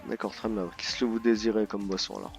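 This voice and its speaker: A man's voice: fairly low pitch, flat delivery, fast talker, vocal fry. A man improvising as a polite, formal bartender in an online roleplay game, serving customers in chat. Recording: dull lo-fi game voice chat, music behind. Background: music.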